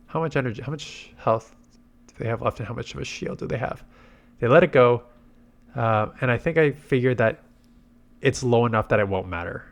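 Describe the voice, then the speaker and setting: A man's voice: mid-range voice, fairly quick, creaky, long pauses. A man congested with a head cold gives casual gameplay commentary, thinking aloud through his tactics. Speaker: game commentator and video host.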